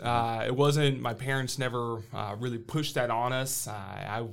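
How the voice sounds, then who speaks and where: A man in his thirties, a missionary who grew up in Brazil, answers questions in an earnest, plain-spoken way. His pitch sits in the middle of the range for a man, and he fills his pauses with soft hesitations. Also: fairly even intonation, vocal fry, clear, resonant tone. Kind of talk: interview answers.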